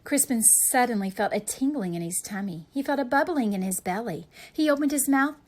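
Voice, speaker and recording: A woman reading a children's picture book aloud as a storyteller, with expressive, deliberate delivery for young listeners. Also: fairly high pitch.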